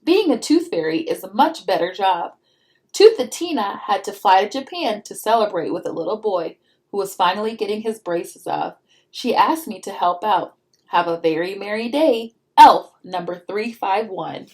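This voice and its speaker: A woman's voice: medium pitch, animated, moderate pace, a little mellow, lightly acted. A woman voicing a cheerful, busy Christmas elf reading out a storybook note in an emphatic singsong.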